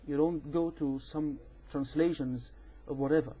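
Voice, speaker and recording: A man with an Egyptian accent, a native Arabic speaker, giving an informal explanatory rebuttal in a halting, repetitive, insistent way. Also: a little high, fairly even intonation, dark tone, breathy edge. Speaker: amateur video responder on religion.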